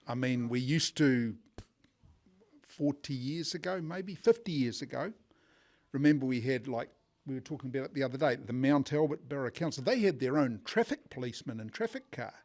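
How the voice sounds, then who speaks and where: Older New Zealand man, rambling, mid-pitched. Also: animated, moderate pace, darkish tone. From South Auckland, New Zealand. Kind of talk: casual reminiscing at a public forum.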